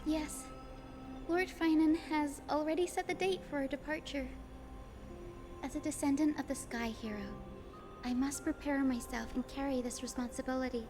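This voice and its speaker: A woman playing a gentle but resolute young healer heroine in a video game, speaking earnestly. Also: high-pitched, fairly even intonation, moderate pace, natural voice. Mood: gentle, encouraging. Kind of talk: scripted game dialogue. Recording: music playing behind the voice.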